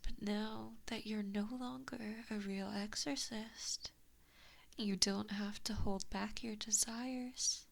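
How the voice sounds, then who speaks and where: Slow whispering evil fox giantess, played by a woman. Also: mid-range voice. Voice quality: whispery, breathy. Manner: slow, taunting, mock-sweet. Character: evil, possessive giant kitsune fox-woman, teasing and cruel. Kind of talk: ASMR roleplay. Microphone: close binaural microphone.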